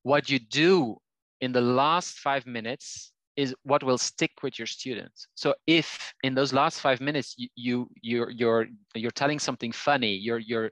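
A Flemish-speaking man from Belgium talks over a headset on a video call from a small room at home. His voice sits at an ordinary pitch with a dry, close sound. He hesitates often, repeating words before finishing his thoughts. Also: fairly bright tone, no room sound. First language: Flemish Dutch. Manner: halting, many restarts and repeated words. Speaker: guest language teacher.

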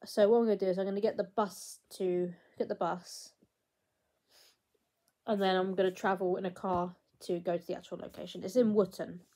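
A woman's voice: mid-range voice, steady tone, moderate pace, warm tone. A woman in her twenties vlogging casually to her phone at home, chatty and rambling with lots of fillers and restarts. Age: 20s. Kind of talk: casual vlog to camera. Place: small room at home, indoors. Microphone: phone held at arm's length.

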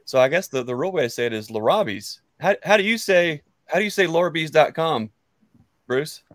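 A man's voice: fairly high pitch, expressive, fast talker, a bit bright, no echo. An American man in his thirties, chatting casually in a friendly, meandering way with hesitations, close to the microphone. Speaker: beekeeper, guest on a live chat. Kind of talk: casual chat. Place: book-lined room at home. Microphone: close studio mic on an arm.

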